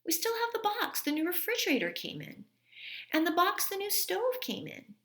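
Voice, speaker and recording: Woman as storybook mom, encouraging, resourceful, lifted pitch. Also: big pitch swings, normal speed, fairly bright tone, full character voice. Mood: enthused.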